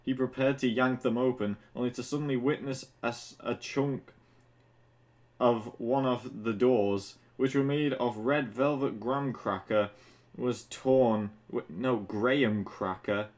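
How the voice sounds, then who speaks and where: A man's voice: medium pitch, darkish tone. A man who sounds tipsy, reading fan fiction aloud casually and comedically, stumbling over words and breaking off to mock the text with sweary asides. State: drunk. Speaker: fan fiction reader and commentator.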